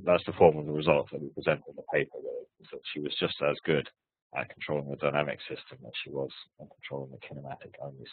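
Guy, super deep and husky, kinda hoarse, lots of ums, tinny call audio. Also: no echo. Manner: hesitant, many restarts and fillers. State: hoarse from hay fever. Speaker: research presenter. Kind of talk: webinar talk and Q&A answers. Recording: tinny phone-like webinar audio.

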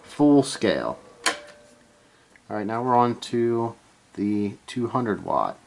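A man giving a casual, hands-on gear demonstration, halting and unscripted as he thinks aloud from behind the camera in a room at home. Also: fairly low pitch, big pitch swings, creaky, background noise. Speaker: amateur radio hobbyist, presenter. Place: small room at home, indoors.

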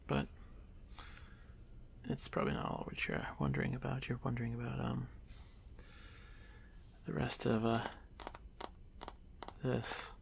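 Male actor as timid young drider for fantasy ASMR: very creaky, soft, halting delivery, lonely and apologetic, audible noise behind. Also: medium pitch, fairly even intonation. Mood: hesitant, wistful. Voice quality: gravelly, creaky. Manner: hesitant, stammering, soft-spoken, often dropping to whisper. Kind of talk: ASMR fantasy roleplay addressed to the listener.

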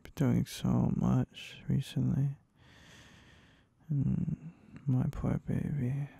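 Male actor as sleepy husband, very dark husky murmur, tender, soothing, intimate ASMR, close and dry. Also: medium pitch, little pitch movement, dry recording, full character voice. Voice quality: breathy, gravelly murmur. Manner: soft, slow, soothing, with hums. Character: tender, sleepy husband comforting his wife in bed. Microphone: very close, dry microphone.

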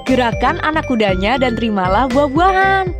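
Cute little panda host for a toddler cartoon, really high, encouraging, music behind. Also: very animated, normal speed. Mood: cheerful, encouraging. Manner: encouraging, simple instructions. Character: cute cheerful young panda guide for toddlers. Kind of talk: children's educational cartoon presenting.

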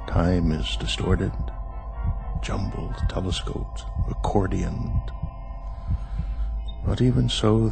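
Brooding male astronaut, halting radio-drama inner monologue, low gravelly voice. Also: heavily acted. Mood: detached, remembering. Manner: halting, deliberate, ponderous phrasing. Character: weary male astronaut in suspended sleep, brooding, introspective.

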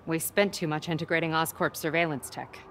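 A woman's voice: deep voice, steady tone, a little fast, a bit bright, slight background noise. An actress playing a tough, no-nonsense woman police captain with a dry, curt manner. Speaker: police officer.

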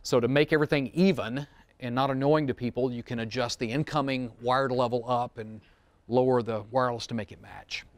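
A man's voice: medium pitch, fairly even intonation, brisk, no echo. A middle-aged man leading a seminar, explaining a product in an informal, plain-spoken way with casual asides. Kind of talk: informal technical product demonstration. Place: studio room, seated at demo table among a small group. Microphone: wireless mic, close.

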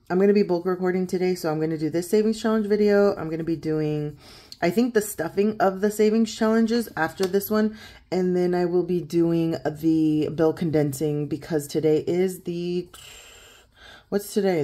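Croaky-voiced woman in her thirties counting cash. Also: on the low side, normal speed. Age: 30s. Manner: chatty, counts out loud, laughs easily. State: croaky morning voice. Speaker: savings challenge vlogger. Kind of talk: casual chat while counting cash. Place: home desk, indoors.

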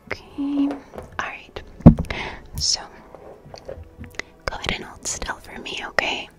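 Young female ASMR artist, breathy close-mic whisper, slow soothing delivery as a gentle art teacher. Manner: soft, soothing, gentle, repeating phrases. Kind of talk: ASMR roleplay, personal attention. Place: small bright plant-filled room indoors. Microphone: close studio microphone with fluffy cover.

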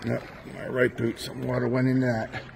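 A man's voice: mid-range voice, level tone, normal speed, vocal fry, a little hiss or hum. A man casually narrating to his phone camera as he wades through a flooded tunnel, talking plainly to himself. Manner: plain, matter-of-fact, talking to himself while wading. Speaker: vlogger exploring a tunnel. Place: inside a long flooded stone tunnel. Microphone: phone camera held while walking.

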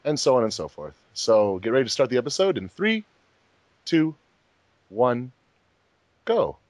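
A man's voice: mid-range voice, sing-song, a little slow, darkish tone, no echo. A man hosting a casual group commentary, chatting informally. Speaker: host of a fan dub commentary track.

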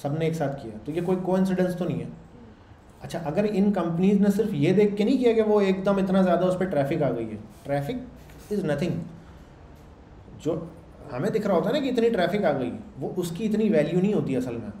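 A man's voice: high voice, lively intonation, normal speed, mellow. A man teaching a class in a casual lecture style, checking in with his listeners as he explains. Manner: conversational, explains with checks like "samjh rahe ho" and "theek hai". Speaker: programming instructor. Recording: echoey room with faint background hum.

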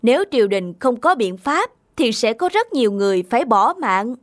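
Woman voicing an earnest high-pitched provincial governor. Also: big pitch swings, moderate pace. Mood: concerned. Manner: respectful, pleading. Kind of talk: animated folk tale dialogue. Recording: faint music underneath.

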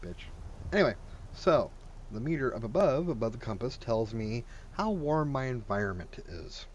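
A man's voice: mid-range voice, lively intonation, moderate pace, a little mellow, lo-fi sound, slight background noise. A man giving casual, blunt and sweary commentary while he plays and reviews a video game. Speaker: gamer reviewing a game mod.

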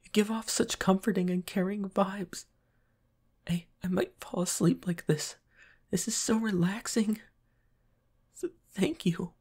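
A man playing a shy, flustered, submissive young pop star boyfriend in a roleplay audio, with soft breathy, stammering, hesitant speech. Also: high-pitched, measured pace, long pauses. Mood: soft, relaxed. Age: young adult. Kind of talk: roleplay ASMR audio acting.

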